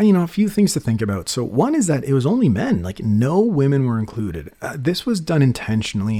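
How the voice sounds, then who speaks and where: A man, a physician, explaining research papers in a casual podcast chat, peppered with "you know". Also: mid-range voice. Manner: lively, explanatory, many you-knows. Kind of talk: casual medical journal discussion.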